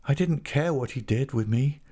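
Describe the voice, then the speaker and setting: A man's voice: medium pitch, warm tone, slightly rough, voice pushed up, natural voice. A man voicing a distraught young woman, bitter and pleading, in an impassioned audiobook reading of a classic novel. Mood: despairing, pleading. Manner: impassioned, repetitive, halting pleas.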